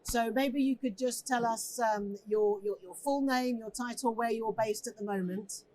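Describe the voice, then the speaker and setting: A woman's voice: a little high, moderate pace, darkish tone, poor audio. A woman hosting a conversational interview, effusive and given to repeating words and phrases for emphasis. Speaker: interviewer, host.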